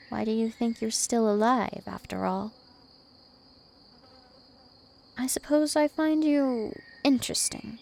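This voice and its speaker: Woman performing a ancient female vampire royal, dominant, taunting, creaky-edged, ASMR roleplay, faint noise behind. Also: fairly high pitch, darkish tone, heavily acted. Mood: smug, possessive. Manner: slow, haughty, teasing menace. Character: two-thousand-year-old vampire princess, female, dominant, taunting, imperious.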